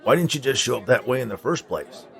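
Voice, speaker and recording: A man's voice: mid-range voice, lively intonation, fast, creaky, fairly bright tone, some chatter behind, pitched above the performer's own voice. Man as a grumpy, sarcastic, jaded grown man. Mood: bored, impatient. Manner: dry, sarcastic, impatient.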